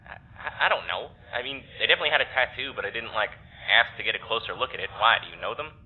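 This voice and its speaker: An actor playing a snarky, uneasy young man exploring a strange mindscape in an audio drama, speaking in a halting way. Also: mid-range voice, natural voice. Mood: dazed, confused. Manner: hesitant, halting, sardonic. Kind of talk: naturalistic audio drama acting. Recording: tinny phone-like filter with background noise.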